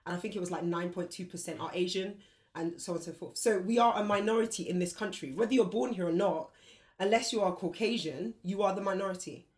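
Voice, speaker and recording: A speaker with a mid-pitched voice in a casual group discussion. They take the explaining role and back their points with numbers. They reason things through out loud. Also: fast talker. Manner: explanatory, cites facts and figures.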